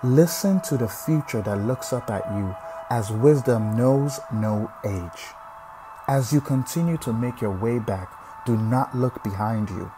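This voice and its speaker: A man's voice: medium pitch, a little slow. A man giving a solemn spoken-word poetry recitation. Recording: music under the voice. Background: music.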